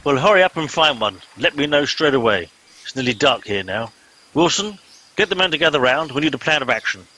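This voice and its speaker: A man playing a pompous middle-aged captain in a comedy radio play, brisk, officious and commanding. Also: mid-range voice, expressive, pushed and bright, rough recording. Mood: exasperated.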